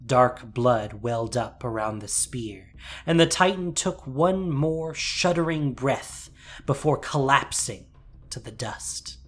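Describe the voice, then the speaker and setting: A man giving a scripted, dramatic fantasy narration as storyteller, measured and suspenseful. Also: mid-range voice, lively intonation, a bit bright. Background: music.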